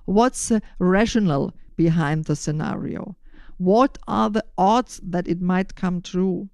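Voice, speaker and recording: An older woman explaining a podcast in measured, deliberate, prepared phrases. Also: lowish voice, gravelly, a little mellow, no room sound, studio quality. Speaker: podcast host.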